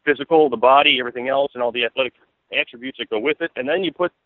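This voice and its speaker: An American man talks sports as an interview guest, at a rapid clip. He rambles and repeats himself for emphasis in a mid-pitched voice, heard over a narrow phone line. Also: dry recording. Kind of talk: conversational sports analysis.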